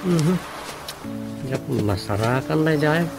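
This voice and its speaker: An actor with an Ilocano Filipino accent playing a grumbling, flustered husband in a radio comedy drama, muttering in exasperation. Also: male voice, on the high side, lively intonation, takes it slowly, music in the background.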